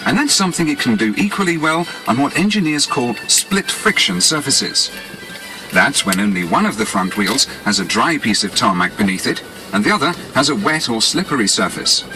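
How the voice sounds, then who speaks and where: British male promo narrator, lively intonation over music. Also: medium pitch, moderate pace. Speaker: advertising narrator. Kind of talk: scripted 1980s promotional narration. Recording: 1980s cassette tape with music behind.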